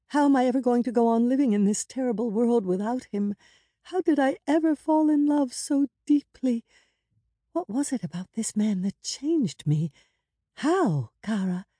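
A woman voicing a loving, anxious female character in an audiobook, pleading and emotional, with a breathy delivery. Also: on the high side, dark tone, dry recording, clean studio sound, voice pushed up.

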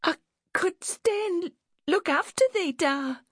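Small hesitant girl played by a woman narrator, high, faltering, meek and pleading, for an audiobook. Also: expressive, slow pace, no room sound, clean studio sound, exaggerated voice. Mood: timid, pleading. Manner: timid, faltering, soft.

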